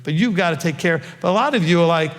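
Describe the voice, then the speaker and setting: A man's voice: on the high side, expressive, quick pace, big-room sound. A middle-aged man giving an informal Bible teaching, emphatic and often checking in with "okay?". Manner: emphatic, repeats "okay?" and "all right?".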